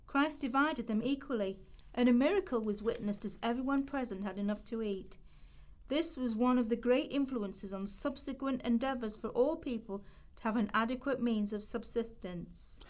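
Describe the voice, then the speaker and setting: A woman with a fairly high voice narrates for a radio play. She reads descriptive literary prose from a script, as a storyteller. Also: moderate pace.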